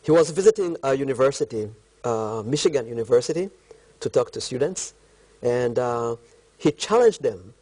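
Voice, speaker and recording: Mellow middle-aged man with a Congolese accent. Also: mid-range voice, animated, normal speed, poor audio. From eastern Congo. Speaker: interview guest, country director of a volunteer organisation. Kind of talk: measured interview answers.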